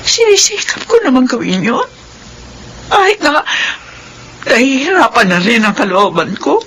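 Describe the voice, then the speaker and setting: An actress playing a worn-out middle-aged mother, weary and tearful, who shouts when pushed too far, in a classic radio drama. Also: high-pitched, very animated, moderate pace, a little hiss or hum, heavily acted.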